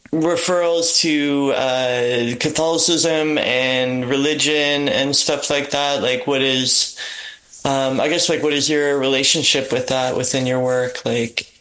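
A man with a bright, clear voice who speaks very slowly. He co-hosts an interview and gropes through his question with many fillers and pauses. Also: mid-range voice, steady tone, rough recording. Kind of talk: casual interview.

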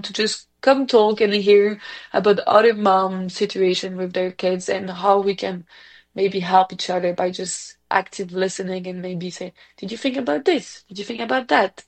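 A woman with a Belgian French accent speaks casually as a guest in an interview. Her voice has a lot of creak in it. Her phrasing is chatty and a little hesitant, and she corrects herself as she goes. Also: medium pitch. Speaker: community organization representative, interviewee.

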